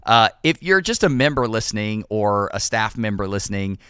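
A man's voice: fairly low pitch, expressive, moderate pace, a bit bright, clear, resonant tone, no echo, studio quality. A male podcast host and pastor in casual conversation, encouraging listeners in a sermon-like cadence.

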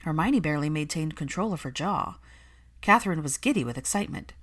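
A woman reading fan fiction as an audiobook narrator, in expressive, measured scripted storytelling. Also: sits low.

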